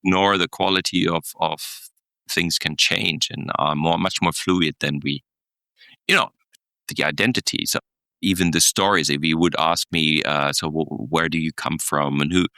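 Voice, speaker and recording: A man's voice: extremely low pitch, steady tone, moderate pace, vocal fry, pushed and bright, no room sound. A middle-aged man, telling stories thoughtfully as a podcast guest, with many restarts and small chuckles. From Germany. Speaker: guest, executive coach. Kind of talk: podcast interview, reflective storytelling.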